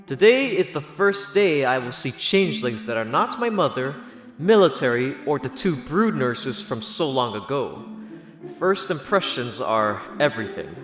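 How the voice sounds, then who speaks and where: A man's voice: mid-range voice, big pitch swings, normal speed, a bit bright. A man reading a scripted first-person story as an audiobook narrator. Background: background music.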